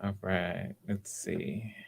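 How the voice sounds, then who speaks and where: Low-voiced encouraging man hosting auditions. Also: little pitch movement, very slow, gravelly, background noise. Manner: encouraging, repeats himself. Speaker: audition host. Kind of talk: casual online hosting and feedback. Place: live video stream.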